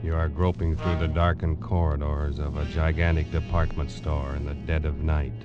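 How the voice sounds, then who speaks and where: A man's voice: a bass voice, little pitch movement, normal speed. A man narrating an old 1940s radio teaser in an ominous, menacing, dramatic style, addressing the listener directly. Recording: muffled vintage radio with loud music. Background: loud dramatic orchestral music with timpani.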